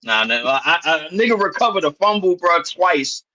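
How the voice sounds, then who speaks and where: A man's voice: on the high side, normal speed, lo-fi sound. A man co-hosting a basketball podcast, arguing in a heated, slang-heavy casual way, peppering his points with "bro". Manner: animated, argumentative, heavy slang, repeats "bro". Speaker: podcast co-host. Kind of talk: heated casual sports banter.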